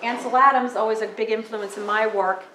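A woman in her forties, a photographer giving a casual talk in a library meeting room, speaking loudly into a handheld microphone with plenty of fillers. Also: a little high, steady tone, brisk, a little hiss or hum. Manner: loud, chatty, with many fillers. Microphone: handheld microphone through PA. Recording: echoey room over a PA.

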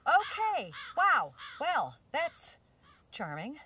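A woman with an American accent voicing a smart, teasing sports car in an animated game, with a wry manner. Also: high voice, very slow, exaggerated voice. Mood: wry, miffed. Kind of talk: animated video game acting.

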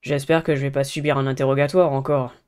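Surly high-school rebel boy played by a woman, low pitch, curt and irritated, for a dating game. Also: level tone, fast talker, a little mellow, clear, resonant tone. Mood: annoyed.

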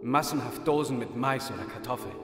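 A man's voice: mid-range voice, brisk, lightly acted. Man acting a confident rebel in echoing hall. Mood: confiding. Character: confident, persuasive male rebel recruiter in a dystopia. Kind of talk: science-fiction audio drama acting. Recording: echoey hall reverb, music underneath. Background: music.

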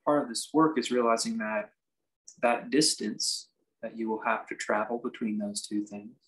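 Man guiding a meditation in halting phrases. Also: on the low side, fairly even intonation, a bit bright, poor audio. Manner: measured, halting phrases with reflective pauses. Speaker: meditation teacher. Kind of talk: guided meditation, unscripted instruction.

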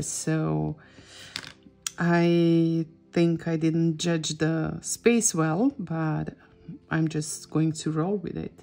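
A woman's voice: fairly low pitch, creaky, darkish tone. A middle-aged woman with a Croatian accent, a native Croatian speaker, giving a casual drawing tutorial and often searching for words. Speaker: drawing teacher and host.

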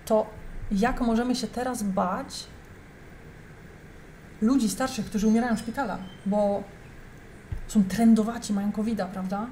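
A woman's voice: on the high side, fairly even intonation, measured pace, long pauses, dark tone, a little hiss or hum. A young adult woman giving heated, emphatic reaction commentary, talking straight to her viewers. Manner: indignant, emphatic, addresses viewers directly.

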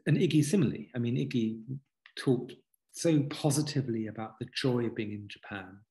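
Fifties male author guest, earnest and halting, video call from home. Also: medium pitch, dark tone. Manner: halting, many restarts and fillers, earnest. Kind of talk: reflective conversational interview. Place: home study with bookshelves. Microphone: video call webcam.

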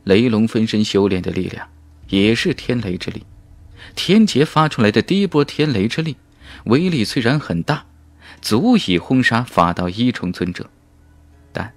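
Low-pitched, animated male audiobook narrator. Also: normal speed, dry recording. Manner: dramatic, emphatic storytelling. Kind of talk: dramatic scripted fantasy novel narration. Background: background music.

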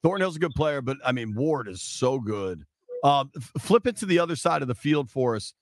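A man's voice: medium pitch, sing-song, moderate pace, dry recording. A middle-aged man hosting a casual sports radio interview on a close studio mic. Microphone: studio boom mic with headphones.

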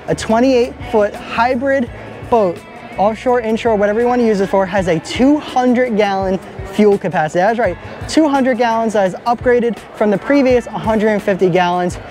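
A young man filming a walkaround boat review at arm's length in a large indoor boat show hall, talking with steady enthusiasm. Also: high voice, normal speed, soft background music. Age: young adult. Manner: enthusiastic, upbeat. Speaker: boat vlogger. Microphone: handheld camera at arm's length.

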